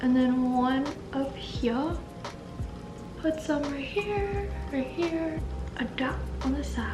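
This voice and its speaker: A young woman with a high voice chatting through her makeup routine, upbeat and full of casual filler words. She sounds a little stuffy and congested, and the home room around her rings with a noticeable echo. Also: slow, music in the background. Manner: chatty, upbeat, says "like" and "freaking" a lot. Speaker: beauty vlogger. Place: bright living room at home.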